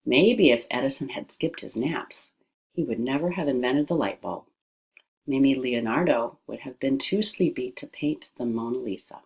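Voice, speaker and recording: A woman with a low-pitched voice reads an informational passage aloud. She follows a script in the manner of an educational narrator. Her words are carefully and deliberately pronounced. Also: darkish tone, low-quality recording. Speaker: reader of an educational text.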